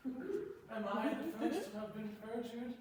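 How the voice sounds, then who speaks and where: A man's voice: very high voice, big pitch swings, slow pace, exaggerated voice. A man playing an earnest, lovestruck young lord, declaiming Shakespearean verse fervently in a staged reading. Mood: pleading. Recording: very echoey, distant, noisy room.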